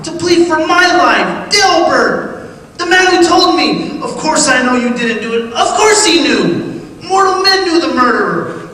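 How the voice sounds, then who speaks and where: A man's voice: extremely high pitch, sharp tone, exaggerated voice. An actor playing an anguished condemned man who narrates a night among ghosts in florid, theatrical radio drama style. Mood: anguished accusation. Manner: impassioned, theatrical, florid declaiming. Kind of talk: old-time radio theatre acting. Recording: very echoey, distant, noisy radio play.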